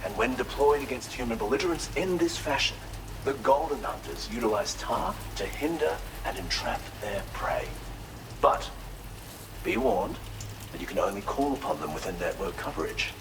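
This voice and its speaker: A man's voice: mid-range voice, big pitch swings, moderate pace, creaky, background noise. An actor playing an eccentric, courteous male researcher and healer in a video game, speaking in a theatrical, formal, effusive way. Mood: earnest, explanatory. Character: eccentric, courteous male tar therapist, enthusiastic self-taught researcher. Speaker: non-player character in a video game. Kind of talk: expressive video game character dialogue.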